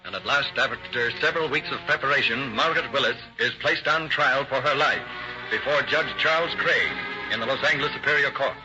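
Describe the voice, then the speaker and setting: An actor playing a grave narrator of a crime case, reading formally and dramatically in vintage radio style. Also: male voice, mid-range voice, brisk, close to an everyday voice. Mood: grave, dramatic. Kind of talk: scripted narration, 1940s radio. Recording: muffled old radio recording. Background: loud dramatic music.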